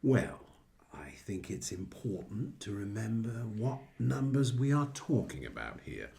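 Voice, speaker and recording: An actor in a satirical radio play as a cold, measured government minister, deliberate and matter-of-fact. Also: male voice, on the low side, sing-song, gravelly, dark tone, exaggerated voice. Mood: coolly measured.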